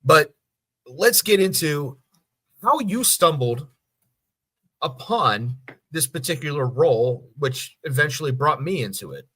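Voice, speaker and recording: A man's voice: fairly high pitch, expressive, measured pace, long pauses, a bit bright, breathy edge. A man hosting a podcast in a casual, conversational style. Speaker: podcast host. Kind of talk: casual chat.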